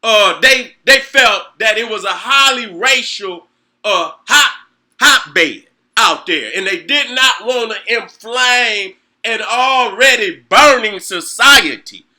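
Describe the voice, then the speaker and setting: A middle-aged man with a strikingly high voice and a sharp, piercing edge rants to his viewers. His talk is heated and profane, loaded with rhetorical questions and the same catchphrase again and again. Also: expressive, slow pace. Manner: fiery, profane, rhetorical, repeats "you know what I'm saying". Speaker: host.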